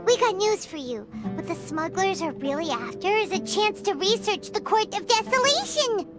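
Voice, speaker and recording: A tiny floating companion chirps in a very high, squeaky voice. Her pitch leaps all over as she exclaims in quick bursts. Loud game music plays behind her. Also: animated. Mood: eager. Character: tiny floating female companion, excitable and chatty. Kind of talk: game voice acting.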